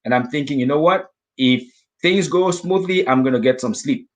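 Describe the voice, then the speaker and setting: A middle-aged man from Africa telling a vivid personal story as a guest speaker, acting out his own inner thoughts in lively asides. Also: on the high side, normal speed. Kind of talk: storytelling talk.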